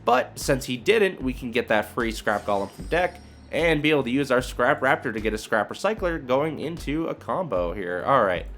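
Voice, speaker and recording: A man's voice: medium pitch, expressive, moderate pace, a bit bright. A man giving casual gameplay commentary, informally thinking aloud as he goes step by step. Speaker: video creator commentating on own card game play. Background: background music.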